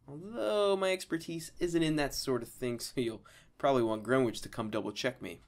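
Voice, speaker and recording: Man playing modest mid-pitched spaceship crewman. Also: normal speed, lightly acted. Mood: unsure, casual. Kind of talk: audio drama dialogue.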